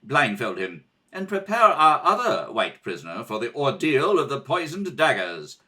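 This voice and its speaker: A man's voice: medium pitch, big pitch swings, moderate pace, pushed and bright. A man playing a stern, suspicious rebel prince with an imperious manner, in old radio serial style. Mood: cold.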